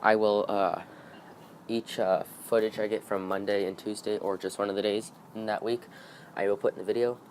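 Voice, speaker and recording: A man's voice: lowish voice, level tone, normal speed, slight background noise. A man hosting his own video channel, talking in a chatty, rambling way, his voice a little off after being sick. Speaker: channel host. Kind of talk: casual vlog talk to camera. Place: outdoors by a street in the early morning.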